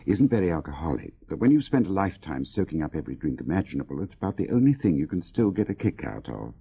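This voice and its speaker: An actor playing a worldly, wry man in a radio drama, unhurried and knowing. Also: low voice, sing-song, vocal fry, dark tone, husky, full character voice.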